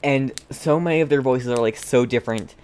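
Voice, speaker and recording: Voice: mid-range voice, brisk, darkish tone, smooth. Playful, casual game streamer. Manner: giggly, playful asides. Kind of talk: casual live reaction commentary.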